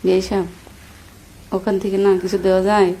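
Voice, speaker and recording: Woman, Bangladeshi accent, mellow voice, coy and teasing, flirty casual chat, faintly noisy phone recording. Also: mid-range voice, level tone, quick pace.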